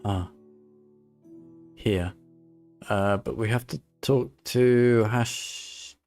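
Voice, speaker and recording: A man gives casual playthrough commentary at a slow, halting pace in a mid-range voice. He thinks aloud and mutters to himself, with faint music behind him. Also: mid-range voice, a little mellow. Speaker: game streamer.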